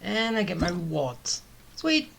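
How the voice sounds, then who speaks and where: A man's voice: high voice, big pitch swings, measured pace, gravelly, a bit bright. Man commentating over noisy gameplay. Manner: muttering to self while playing. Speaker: gamer, let's-play host. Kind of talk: casual live gameplay commentary.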